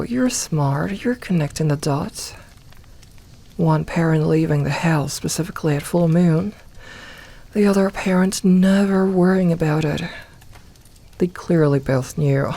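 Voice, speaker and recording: A woman voicing a gentle, comforting young werewolf in a soft ASMR roleplay, talking intimately and reassuringly to one listener with light humour. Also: sits low. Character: gentle, comforting young female werewolf, playful self-styled lone wolf.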